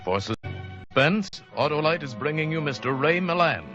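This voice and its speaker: A man's voice: on the high side, lively intonation, normal speed. A man announcing a 1940s radio show in a formal, dramatic manner. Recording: muffled old radio broadcast, music behind.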